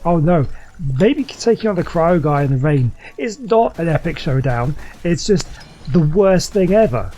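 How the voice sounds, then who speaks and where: A man's voice: fairly high pitch, animated, normal speed, mellow. A man giving casual, exclamatory gameplay commentary as a chatty, self-amused streamer. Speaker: gaming streamer. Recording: game music behind.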